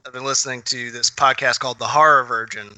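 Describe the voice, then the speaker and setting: A man with a very bright, sharp voice, recorded close and dry. He talks as a podcast co-host in loose, casual chat, swearing freely as he tells his stories. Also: medium pitch, steady tone, quick pace, no room sound, poor audio.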